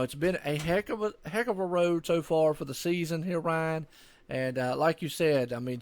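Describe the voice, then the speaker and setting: A man with a slightly higher voice chats through live racing commentary. He is folksy and informal and tends to ramble, recorded dry with hardly any room sound. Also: no echo. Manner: folksy, rambling, says "man" and "y'all" often. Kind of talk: casual, chatty live sports commentary.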